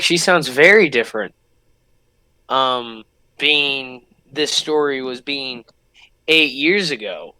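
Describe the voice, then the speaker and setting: A man's voice: fairly high pitch, sharp tone, no echo, poor audio. A man giving casual, chatty commentary as host of a reaction video, emphatic and repeating himself.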